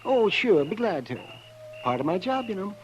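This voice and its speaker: A high-pitched frontier doctor. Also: male voice, sing-song, normal speed, soft background music. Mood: matter-of-fact. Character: brisk, folksy frontier doctor. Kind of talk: 1950s radio drama acting. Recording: muffled, noisy 1950s radio recording.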